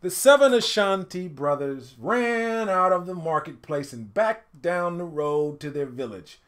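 A middle-aged man reading a picture book aloud in an animated, dramatic storytelling style, pausing to speak to listeners. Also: high-pitched. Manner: expressive, dramatic swells and hushed asides to listeners. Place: indoor home studio room.

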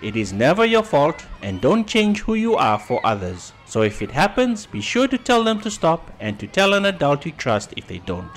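An actor playing an upbeat, encouraging and instructive youth football coach in an animated comic. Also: male voice, fairly high pitch, normal speed, few pauses, a little hiss or hum. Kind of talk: animated motion comic acting.

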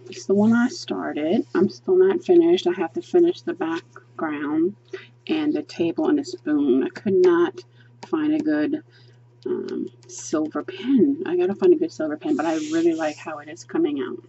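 A chatty woman pages through a coloring book at her table and gushes over each picture, thinking aloud with frequent ums. Her voice sits a little low and mellow. The home recording is dull and lo-fi, with a faint hiss behind her. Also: normal speed. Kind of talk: casual book flip-through review. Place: indoors at a table, hands seen flipping pages.

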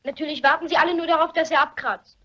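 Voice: high-pitched, steady tone, fast, sharp tone, muffled recording. A performer playing a cheeky, precocious schoolboy in a radio play, blunt, glib and pert. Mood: gossipy, glib. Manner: pert, glib, mock-grown-up. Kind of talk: German radio play acting.

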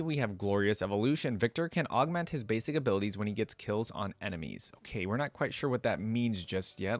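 A man's voice: medium pitch, no room sound. A man in his thirties doing casual, conversational reaction commentary and story reading, close on a desk microphone. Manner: conversational, checks in with "you know". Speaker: reaction video host. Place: small indoor streaming room.

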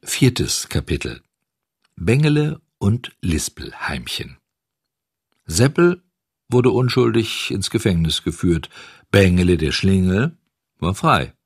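A deep-voiced man tells a children's story with dramatic expression. His voice is breathy and husky, and the recording is close and dry. He addresses young listeners directly. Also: sing-song, slow pace, pauses often, no room sound. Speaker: audiobook narrator.

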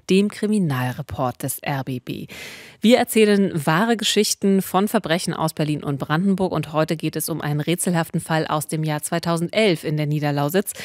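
A woman's voice: deep voice, dry recording. A middle-aged woman narrating true crime in a conversational, scripted way at a close studio microphone. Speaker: true-crime podcast host, journalist. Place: small studio, indoors. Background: music.

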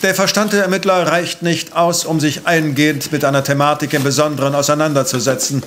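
A man's voice: a little high, steady tone, a little fast, fairly bright tone, a little hiss or hum, close to an everyday voice. Male actor as brilliant, impatient professor-detective for audio drama: raised register, brusque, precise, superior manner. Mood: analytical, disdainful. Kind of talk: German audio drama acting.

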